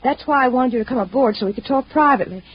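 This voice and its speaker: Husky, high-voiced anxious young woman. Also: racing. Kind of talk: 1950s radio drama acting. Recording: muffled vintage radio recording.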